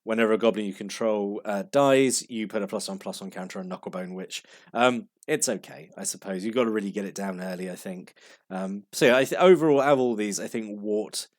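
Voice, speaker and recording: A man's voice: lowish voice, a little fast, dry recording, studio quality. A man with a cold, sniffling as he rambles casually through a card game review with many fillers. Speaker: card game video host.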